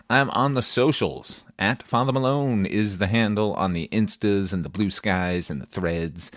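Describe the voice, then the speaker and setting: A man's voice: fairly low pitch, dry recording, studio quality. A man hosting a movie podcast in a playful, theatrical scripted monologue. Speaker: podcast host.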